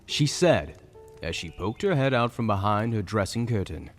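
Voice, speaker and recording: A man's voice: lowish voice, big pitch swings, normal speed. A man narrating a fantasy story in a dramatic, scripted storytelling style. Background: soft music.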